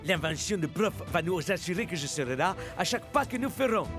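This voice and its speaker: An earnest young-hearted soccer captain from a cartoon. His voice is high and very lively in pitch, full of drama and sentiment. Music runs behind him. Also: brisk. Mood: rousing, sentimental. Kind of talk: animated cartoon voice acting.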